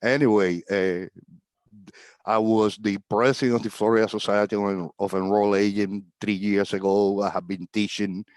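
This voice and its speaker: A middle-aged man from Cuba, a tax agent talking as a guest on a video call in a relaxed, rambling way with fillers and chuckles. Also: lowish voice, normal speed, dry recording. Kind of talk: casual video-call interview.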